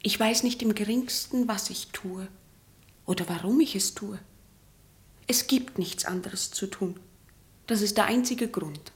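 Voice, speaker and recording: An actress playing an earnest, troubled young woman in a German radio play, resolute and at times hushed. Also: mid-range voice, unhurried, pauses often, natural voice. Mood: uncertain, sincere.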